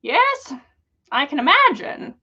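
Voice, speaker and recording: A woman's voice: high voice, sing-song, takes it slowly, pushed and bright, highs cut off, voice pushed up, heavily acted. A woman voicing a weary old male wizard who is dry, civil and sarcastic, in a fan fiction story. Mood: dry sarcasm.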